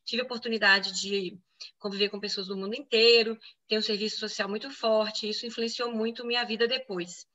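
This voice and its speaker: Bright chatty woman with a Minas accent. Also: medium pitch, brisk, dull audio. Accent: Brazilian Portuguese, Minas Gerais. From Venezuela, raised in Brazil. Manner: chatty, lively, frequent "né?" tags. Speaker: physician guest. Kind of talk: casual interview answers.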